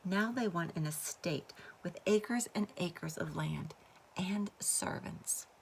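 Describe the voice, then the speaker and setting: A woman's voice: on the low side, takes it slowly, gravelly, slight background noise, low-quality recording. A woman voicing a shy, dutiful, reluctant young peasant girl in a storybook. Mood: pleading, ashamed.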